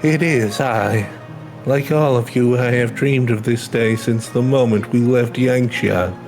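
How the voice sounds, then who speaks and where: A solemn, homesick refugee in a fantasy game , voiced by a man. The voice is middle-pitched, sombre and hesitant, with faint music behind it. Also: fairly even intonation, a little slow, darkish tone, lightly acted. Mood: wistful, solemn. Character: solemn, wistful refugee longing for home. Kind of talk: reading game dialogue aloud.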